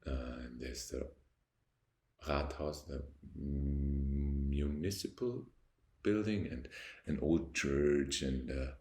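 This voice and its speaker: Young Austrian man, deep gravelly voice, German accent, slow reflective to-camera chat in an echoey apartment. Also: dark tone. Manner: slow, reflective, pausing often to search for words. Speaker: vlogger. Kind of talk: unscripted talking to camera. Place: high-rise apartment by a window.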